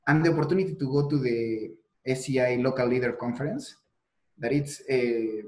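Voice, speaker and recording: A man's voice: mid-range voice, darkish tone, poor audio. A young man from Mexico, a native Spanish speaker with a Mexican Spanish accent, answering interview questions earnestly over a video call, with frequent restarts and fillers. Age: young adult. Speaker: guest, PhD student. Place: indoor room on a video call.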